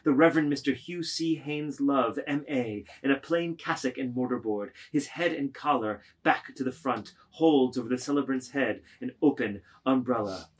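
A man's voice: medium pitch, moderate pace, few pauses. A man narrating a literary script, reading stage directions in a declamatory way.

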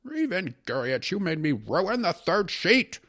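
A man voicing an exasperated, peevish presiding judge in a storybook reading, scolding in sharp outbursts. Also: high voice, very animated, unhurried, pitched above the performer's own voice, exaggerated voice.

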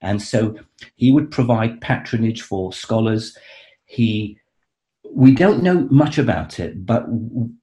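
A man explains history as a guest expert on an interview show. He talks with keen enthusiasm, stumbling over restarts and filling pauses with um and uh. His voice sits on the low side. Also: expressive, warm tone. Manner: hesitant, many restarts and fillers, enthusiastic. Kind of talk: conversational explanation.

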